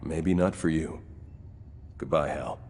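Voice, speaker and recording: An actor playing a disillusioned, conscientious superhero in a video game, earnest and firm. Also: male voice, rumbling low, slow pace, a little mellow, slight background noise, heavily acted. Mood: grim defiance. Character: disillusioned male speedster superhero, conscientious, resolute. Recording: music behind the voice.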